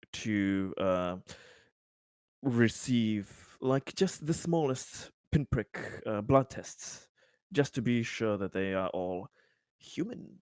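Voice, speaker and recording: Male tabletop player, lowish register, improvising in character; halting, courteous, formal delivery on a dry recording. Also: a little mellow, no echo. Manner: hesitant, halting, polite and formal. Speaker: tabletop roleplaying game player.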